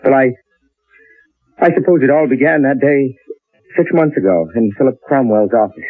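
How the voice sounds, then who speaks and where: A man's voice: mid-range voice, moderate pace, no echo, soft background music, natural voice. An actor playing a nervous, earnest writer in his thirties in old-time radio drama style. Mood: earnest recounting. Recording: lo-fi narrowband old radio recording.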